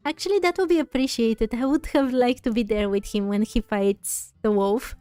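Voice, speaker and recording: A woman's voice: on the high side, normal speed, warm tone, clean tone, no room sound, soft background music. A woman streamer giving casual gameplay commentary, musing and thinking aloud close to the microphone. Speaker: streamer playing a story game.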